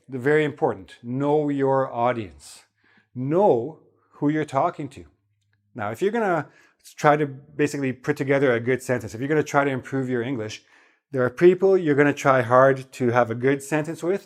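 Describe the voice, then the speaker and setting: Chatty man teaching English. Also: medium pitch. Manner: relaxed, chatty, repeats "okay?" to check in. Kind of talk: spoken English lesson.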